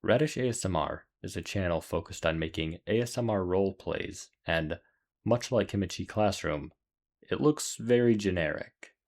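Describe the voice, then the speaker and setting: A man's voice: deep voice, normal speed, a little mellow. A man reading scripted narration as the host of a video essay. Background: music.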